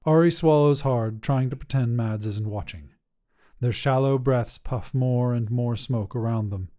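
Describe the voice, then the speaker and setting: A man's voice: mid-range voice, unhurried, dark tone. A man narrating a scripted short story as a podcast reader, with a dramatic delivery.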